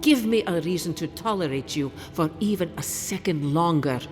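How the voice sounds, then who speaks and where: A man's voice: a little high, animated, normal speed, faint music behind, close to an everyday voice. Man as imperious, stern council leader in echoing hall. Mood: suspicious. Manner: imperious, formal, challenging. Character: proud, stern, suspicious council leader guarding a sacred hold. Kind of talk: dramatic fantasy game acting. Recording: long hall reverb.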